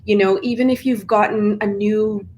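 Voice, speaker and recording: A woman's voice: mid-range voice, fairly even intonation, moderate pace, a little mellow, slight background noise. A middle-aged woman from Trinidad and Tobago with a Trinidadian accent, a performance coach giving earnest explanations in an interview. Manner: earnest, explanatory, uses "right?" and "like" often. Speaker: mental performance coach, guest. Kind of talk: conversational interview.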